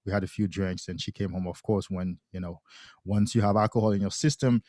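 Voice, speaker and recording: A man's voice: low-pitched, steady tone, dark tone, no room sound, studio quality. A man from Haiti, a podcast guest talking thoughtfully in casual conversation, often restarting his sentences. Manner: thoughtful, halting with frequent restarts.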